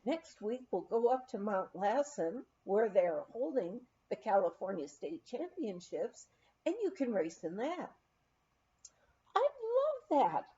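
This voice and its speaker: A woman reads a memoir aloud in an expressive, measured storytelling manner, on a somewhat dull recording. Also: mid-range voice. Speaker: audiobook reader.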